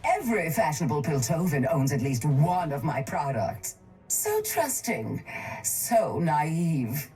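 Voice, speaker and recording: An actress voicing a cold, calculating, wealthy woman crime baron in a video game, smug, measured and condescending. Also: deep voice, very animated, warm tone, faint music behind, full character voice. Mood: smug menace.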